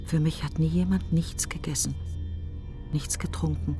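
An actress playing a bitter, grieving middle-aged woman in a literary radio monologue, breathy and brooding, speaking in insistent fragments. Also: low voice, fairly even intonation, normal speed, warm tone, faint music behind, lightly acted. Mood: bitter, resentful.

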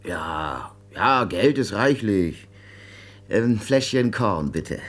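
Radio thriller role: oily, lonely-sounding male flatterer, unhurried and insinuating, with very swooping intonation. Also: on the low side, heavily acted. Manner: insinuating, halting, repeats himself. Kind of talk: German radio crime drama acting.

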